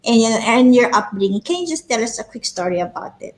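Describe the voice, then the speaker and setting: A woman's voice: on the high side, lively intonation, brisk. A woman hosting a video-call interview, friendly, often saying "you know" and "right?". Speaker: host. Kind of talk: casual interview.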